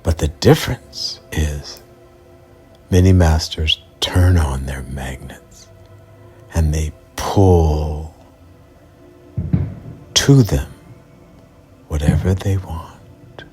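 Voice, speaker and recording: A man narrating a bedtime story for children as a guide, in a measured, deliberate delivery with pauses mid-sentence. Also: extremely low pitch, a little mellow, slightly rough. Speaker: bedtime storyteller and mindset coach. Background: background music.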